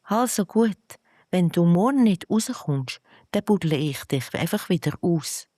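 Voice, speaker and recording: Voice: mid-range voice, animated, a little slow, dark tone, no room sound, studio quality, pitched above the performer's own voice. A storyteller voicing a little star girl who is impatient and curious, with a childlike, questioning, slightly huffy way of talking. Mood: impatient, demanding.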